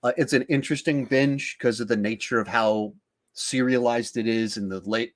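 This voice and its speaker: A man's voice: medium pitch, steady tone, normal speed. Male podcast host, mid-range voice. Casual, discursive talker who stumbles over words while thinking aloud. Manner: rambling, thinking aloud with stammers and repeated words.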